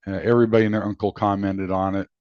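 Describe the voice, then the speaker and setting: A low male voice talks off the cuff, explaining things in a halting, rambling fashion. The sound is dry and close, with a dull, lo-fi finish. It has the feel of a hobbyist's homemade video commentary. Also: flat delivery, no room sound. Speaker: video host and amateur radio hobbyist. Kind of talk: casual unscripted explanatory commentary.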